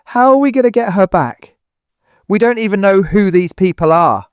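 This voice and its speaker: An actor playing an anguished, desperate husband pleading with raw emotion in an audio drama. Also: male voice, high-pitched, lively intonation, moderate pace, clean tone, no room sound, clean studio sound. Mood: shaken disbelief. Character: anguished, desperate husband whose mother is kidnapped.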